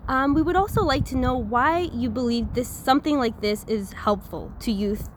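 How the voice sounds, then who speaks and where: A woman's voice: a little high, moderate pace, slight background noise. A woman hosting a podcast, introducing a guest and asking prepared interview questions. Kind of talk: scripted-sounding friendly interview questions.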